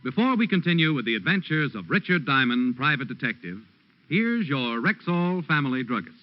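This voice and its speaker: A man's voice: mid-range voice, very animated, normal speed. A man announcing a golden-age radio detective show in a scripted style. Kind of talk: 1940s-50s radio announcing. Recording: dull vintage radio recording with music.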